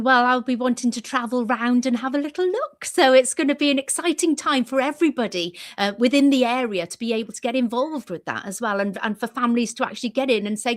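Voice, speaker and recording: Brief: female radio co-host, higher voice, rapid and cheerful. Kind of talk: casual radio chat.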